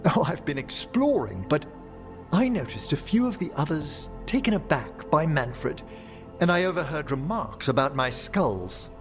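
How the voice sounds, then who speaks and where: A courteous older gentleman scholar of the dead in a fantasy game. His voice sits a little high and moves expressively up and down. He speaks formally and theatrically, with music underneath. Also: sing-song, unhurried. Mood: warmly polite. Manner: formal, polite, precise, theatrical. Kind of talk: video game voice acting. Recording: music behind the voice.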